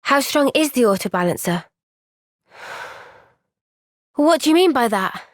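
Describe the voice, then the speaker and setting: An actress playing an earnest, gentle but firm young woman fighter in a video game, speaking in a measured, sincere way. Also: high voice, sing-song, dry recording, clean studio sound. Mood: pensive. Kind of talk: video game cutscene voice acting.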